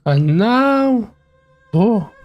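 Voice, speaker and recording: A man live-streaming a game, giving casual commentary in short, halting remarks. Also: high-pitched, expressive, highs cut off. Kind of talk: casual, sparse gameplay commentary.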